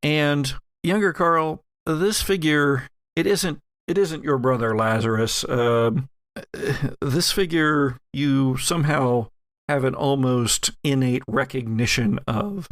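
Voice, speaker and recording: Male game master for horror tabletop play, unhurried and deliberate, recorded dry. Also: medium pitch, no echo. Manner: halting, deliberate scene-setting.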